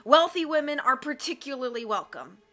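A woman's voice: sits high, sing-song, moderate pace, bright, forceful tone, voice pushed up, heavily acted. A woman voicing a scheming male villain, a count, who sounds smug and sneering.

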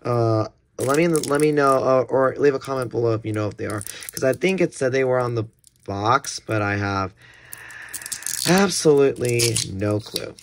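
A man's voice: medium pitch, moderate pace. A man giving casual, unscripted unboxing commentary in a hesitant, rambling way, often correcting himself. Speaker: unboxing video host. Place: indoors at a table. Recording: clear background noise, handling clicks.

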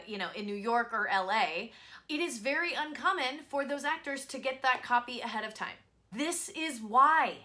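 A woman in her thirties giving scripted instructional advice to camera as a voice-over coach, in an expressive presenter's delivery. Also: a little high. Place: small home studio, indoors.

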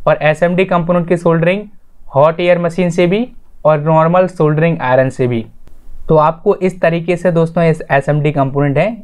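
A man's voice: fairly high pitch, fairly even intonation, moderate pace, darkish tone. A man hosting an informal explanatory voice-over lesson, addressing viewers directly in an instructional way and restating his points. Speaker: tutorial host.

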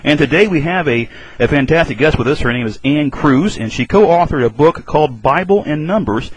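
A man's voice: on the high side, animated, fairly quick, breathy edge, low-quality recording. A man hosting a talk radio show, introducing his guest and asking questions in a casual, upbeat way. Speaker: radio host.

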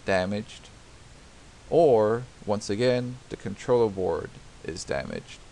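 A man with a lowish, gravelly voice narrates a do-it-yourself repair tutorial over a diagram. He explains patiently, step by step, with frequent fillers and restarted sentences. A faint hiss sits under the voice. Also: lively intonation, slow, mellow, poor audio. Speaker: DIY home repair tutor. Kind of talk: unscripted screen-recorded tutorial narration.

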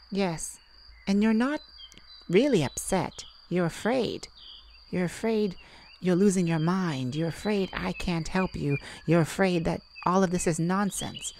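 An unhurried, slightly low-voiced mystic, acted by a woman, calm and enigmatic in an intimate audio roleplay. The delivery is soothing, with hesitant pauses as if peering into someone's mind. Faint birds and crickets sound behind the voice. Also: a little hiss or hum. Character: calm, enigmatic mystic who peers into minds. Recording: nature ambience with birds and crickets.

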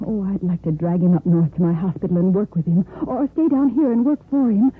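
A woman's voice: mid-range voice, fairly quick, slightly rough. An actress playing an earnest, romantically torn young woman doctor in a 1950s radio soap opera, with dramatic phrasing. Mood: admiring, intense. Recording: noisy tinny 1950s radio recording.

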